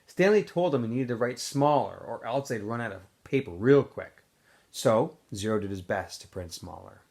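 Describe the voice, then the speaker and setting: A male teacher reads a novel aloud to his students, relaxed and unpolished, with the odd slip and filler. His pitch rises and falls in a lively way as he narrates. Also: normal speed, vocal fry. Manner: relaxed reading with small slips and fillers.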